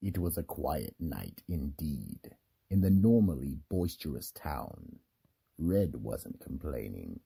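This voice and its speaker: A man narrating a scripted radio mystery drama in a theatrical, deliberate storytelling manner. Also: rumbling low, sing-song, vocal fry, dark tone, husky. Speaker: narrator and host.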